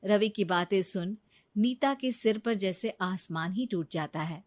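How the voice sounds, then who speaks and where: A woman's voice: mid-range voice, a little slow. A woman narrating a scripted cartoon moral story as its storyteller.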